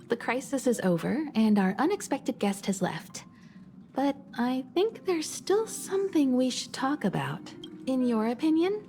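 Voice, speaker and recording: Mellow, wise, composed mentor. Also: female voice, fairly high pitch. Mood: matter-of-fact. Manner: measured, philosophical. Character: calm, wise, composed woman mentor, thoughtful and mature. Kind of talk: video game character dialogue. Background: faint game music.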